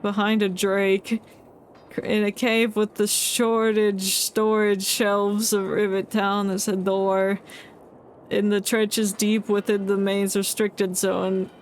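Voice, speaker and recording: Slow-paced woman streaming a game. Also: mid-range voice, flat delivery, slight background noise. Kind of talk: casual livestream commentary, reading game text aloud.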